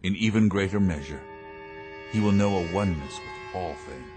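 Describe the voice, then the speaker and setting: An actor playing a calm, persuasive inventor and master of the house in a radio drama, speaking in a measured, formal way. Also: male voice, low-pitched, over music, close to an everyday voice. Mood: soothing, wistful.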